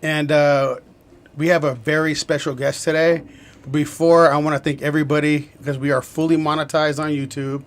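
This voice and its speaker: A man's voice: a little high, flat delivery, normal speed. A man hosting a live podcast, talking loosely with restarts and filler words. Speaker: podcast host. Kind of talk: casual live-stream chat.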